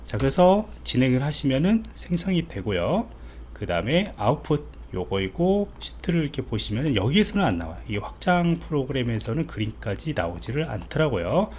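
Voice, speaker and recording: A male instructor talks through a screencast tutorial in an informal, step-by-step way. His medium-pitched voice moves up and down in a lively manner, and he often checks in with listeners. There is faint background noise with keyboard typing. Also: a little fast.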